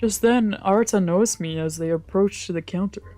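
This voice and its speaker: A woman's voice: medium pitch, normal speed, mellow, dry recording, soft background music. A woman reading scripted first-person visual novel narration aloud.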